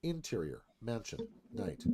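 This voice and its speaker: A man's voice: lowish voice, very animated, a little slow, very noisy. A man narrating a screenplay, reading out scene headings and stage directions.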